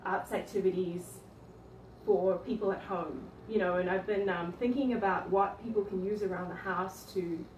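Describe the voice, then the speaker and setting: A woman's voice: medium pitch, fairly even intonation, normal speed, darkish tone, far from the mic, slight background noise. Hesitant woman from New Zealand, echoey room. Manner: hesitant, many ums and restarts. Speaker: art teacher giving a studio tour. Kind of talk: casual livestream chat. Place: her art studio, indoors.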